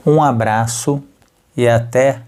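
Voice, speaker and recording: A man with a Brazilian Portuguese accent giving educational narration for language learners as a podcast host, speaking deliberately in short phrases with pauses so each word is clear. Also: medium pitch, fairly even intonation, darkish tone. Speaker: podcast host and capoeira teacher.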